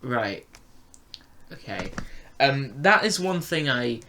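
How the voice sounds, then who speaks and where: A man co-hosting a casual fan review chat, speaking in a hesitant, halting way. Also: mid-range voice, very animated, pushed and bright, slight background noise. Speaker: podcast review co-host.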